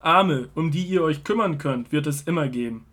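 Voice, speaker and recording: An earnest prophet and teacher in a Bible radio play. His voice sits somewhat high for a man. He makes solemn, measured declarations. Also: a bit bright. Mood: firm, calm. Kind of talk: audio drama Bible dialogue.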